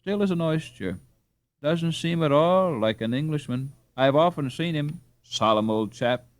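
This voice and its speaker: A man voicing a reserved young English gentleman in a storybook, speaking in hesitant, clipped phrases. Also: on the high side, animated, unhurried, no room sound, voice pushed up. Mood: matter-of-fact.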